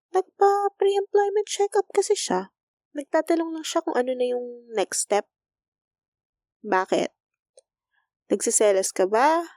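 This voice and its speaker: Woman playing a slow, gentle Filipino school nurse, teasing. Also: extremely high pitch, dry recording, studio quality, full character voice. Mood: playful, teasing. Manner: soft, intimate, teasing, coaxing. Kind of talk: romantic ASMR roleplay.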